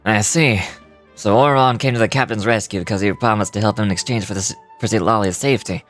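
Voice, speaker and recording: A woman's voice: extremely low pitch, quick pace, faint music behind, pitched below the performer's own voice. A woman voicing an earnest, apologetic young man in a video game, stumbling a little as she reads aloud. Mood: calm, serious. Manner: stumbles while reading aloud.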